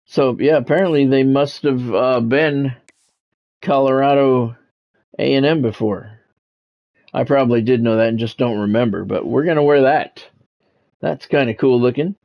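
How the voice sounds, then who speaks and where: A man's voice: medium pitch, moderate pace, vocal fry. A man in a mid-range voice talks through a sports video game like a play-by-play announcer at ease. He is conversational and often thinks aloud. The sound is a little dull and lo-fi. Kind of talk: casual live gaming commentary with sportscast touches.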